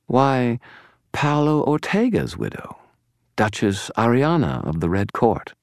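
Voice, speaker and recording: A man's voice: low voice, big pitch swings, unhurried, no room sound, studio quality, voice pushed up, full character voice. A man voicing a smug, gloating villain in an audiobook, taunting and self-satisfied. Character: smug, gloating male villain holding captives. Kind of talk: audiobook character voice.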